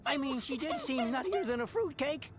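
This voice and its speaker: A woman's voice: high-pitched, very animated, moderate pace. A woman playing a chatty, suspicious girl sleuth in a cartoon mystery, with a wry manner. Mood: speculating, wry. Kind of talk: animated mystery acting. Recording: cartoon clip with loud music.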